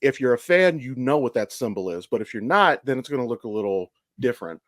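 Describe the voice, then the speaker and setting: An American man in his thirties talks as a podcast host in a medium-pitched voice. His delivery is casual, candid and meandering, peppered with swearing. The sound is dry, with very little room. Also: sing-song, fast talker, no room sound. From Ohio, United States. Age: 30s. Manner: candid, profane, rambling storytelling. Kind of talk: casual conversational podcast chat.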